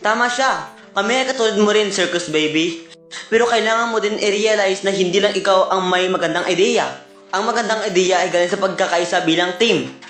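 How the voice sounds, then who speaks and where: Man playing a high-pitched animatronic bear, earnest team leader, preachy, roomy echo. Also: a little fast, a bit bright, faint music behind. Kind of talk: cartoon fan-dub acting.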